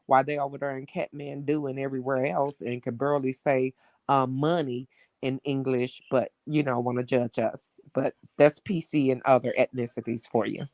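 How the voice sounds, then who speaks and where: A man giving casual commentary, phrasing his points deliberately with hesitations. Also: mid-range voice, little pitch movement, darkish tone, no room sound.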